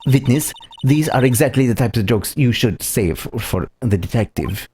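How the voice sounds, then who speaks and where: A man's voice: mid-range voice, animated, normal speed, darkish tone, slight background noise, lightly acted. Man playing an earnest, flustered young lawyer. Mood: flustered, wry. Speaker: streamer. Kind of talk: amateur voicing of a courtroom video game.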